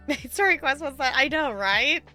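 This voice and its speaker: A woman's voice: high voice, expressive, measured pace, bright, forceful tone. A woman game streamer chatting casually with viewers, rambling along in a playful, self-deprecating way. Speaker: video game live streamer. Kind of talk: casual livestream chat, answering viewers. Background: music behind the voice.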